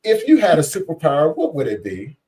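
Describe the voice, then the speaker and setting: Male host, effusive and chatty, dark warm timbre, distant recording. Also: fairly high pitch, huge pitch swings, brisk, a little hiss or hum. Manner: upbeat and effusive, repeats "guys" and "you know". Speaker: podcast host. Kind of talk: casual interview chat.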